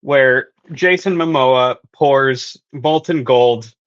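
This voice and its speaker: A woman chatting as a panel guest about fan theories in a playful, theatrical storytelling way with dramatic pauses. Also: a bass voice, highs cut off. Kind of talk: casual fan theory discussion.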